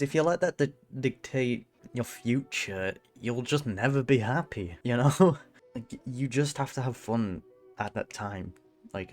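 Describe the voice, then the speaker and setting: Very low-voiced casual woman game streamer. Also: a little mellow. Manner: informal, slangy. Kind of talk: casual reaction commentary over gameplay.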